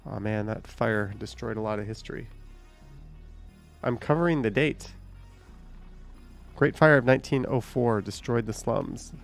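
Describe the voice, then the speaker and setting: A man's voice: fairly low pitch, unhurried, gravelly, pauses often, soft background music. A man hosting a live stream, chatting casually, thinking aloud and swearing now and then.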